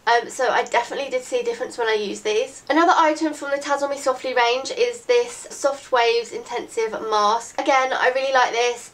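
A woman's voice: on the high side, steady tone, a little fast, hardly any pauses, a bit bright. Chatty teen girl with a cold. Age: late teens. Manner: chatty, rambling, lots of "like" and "really, really". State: congested from a cold. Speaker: beauty vlogger. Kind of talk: casual chat to camera. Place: bedroom, indoors. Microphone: camera in front at desk distance.

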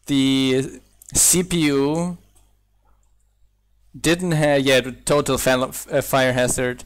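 A man's voice: medium pitch, fairly even intonation, takes it slowly. A young man whose first language is German, with a slight German accent, chatting casually and thinking aloud while streaming a building game. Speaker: mod developer streaming gameplay. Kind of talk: casual live-stream chat while playing.

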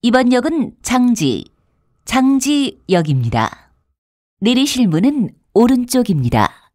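A woman's voice: a little high, very animated, slow pace, a little mellow, no echo, studio quality. A woman reading scripted transit announcements in a formal, polite manner with careful enunciation. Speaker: subway announcer.

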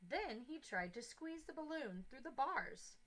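A woman reads a children's picture book like a teacher. Her voice is high and bright, with lively swings in pitch. She takes her time, phrasing each part expressively. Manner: expressive, deliberate storytelling with emphatic phrasing. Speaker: teacher reading aloud to young children. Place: small indoor classroom-style corner. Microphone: headset boom mic.

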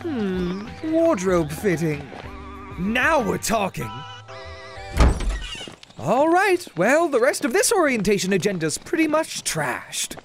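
A man's voice: sits high, big pitch swings, takes it slowly, soft background music, heavily acted. A man voicing a flippant, flamboyant wizard with a drawling, sardonic delivery in a comic dub. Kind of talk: scripted cartoon acting.

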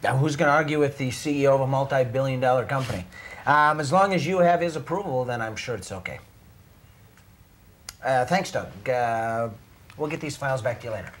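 A man's voice: medium pitch, fairly quick, natural voice. An actor in his forties playing an earnest, doubtful auditor, tactful and hesitant, in a corporate training-film drama. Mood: polite, uneasy. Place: indoor office.

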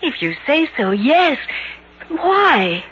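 A bewildered young mademoiselle in a vintage radio mystery. Her voice is high and very animated, with dramatic period delivery. A clear hum and noise sit on the old recording. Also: extremely slow, heavily acted. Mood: willing, puzzled. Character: young French mademoiselle, dutiful, bewildered fiancée. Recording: noisy, humming, dull old radio recording.

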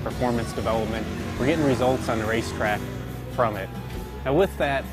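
A man giving an informal technical explanation as an engineer presenting product features. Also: medium pitch. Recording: music playing behind the voice. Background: background music.

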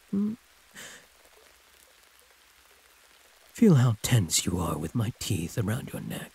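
A man voicing a huge, possessive, teasing werewolf prisoner with a husky, breathy drawl, in an intimate audio roleplay. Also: mid-range voice, darkish tone, full character voice, rough recording. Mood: predatory, hungry. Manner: drawling, teasing pet names, predatory purr.

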